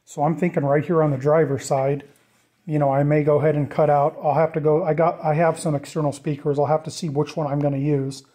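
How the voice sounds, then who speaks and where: Mellow, slightly higher-voiced man thinking aloud. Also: flat delivery, brisk. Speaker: DIY vlogger presenting a build. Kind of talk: casual off-the-cuff project walkthrough.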